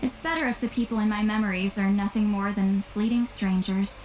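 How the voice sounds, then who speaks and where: An actress playing a long-lived, intimidating but guarded shaman woman in a video game, halting and sighing, slightly tipsy. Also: medium pitch, a little hiss or hum, lightly acted, poor audio. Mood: wistful, resigned. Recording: game dialogue under loud music.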